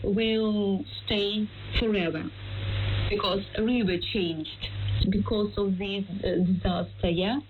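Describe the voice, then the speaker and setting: A Ukrainian-accented woman answers interview questions slowly, in halting phrases. Her pitch is average. The recording is a dulled shortwave radio signal with faint static. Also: steady tone, phone-quality sound. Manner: halting, hesitant non-native phrasing. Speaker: news interviewee, local resident's relative. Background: static and hiss.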